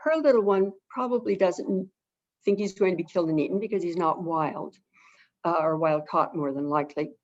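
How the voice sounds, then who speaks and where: A woman who is an avian behavior expert, explaining things calmly and gently as a webinar guest and repeating phrases for emphasis. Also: fairly low pitch, normal speed. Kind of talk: casual explanatory talk. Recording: dull lo-fi webinar audio.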